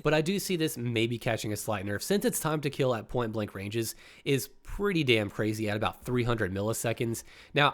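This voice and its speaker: A man hosting a gaming channel, talking casually through his opinions on game balance with a congested, slightly nasal voice. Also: mid-range voice. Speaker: gaming YouTuber.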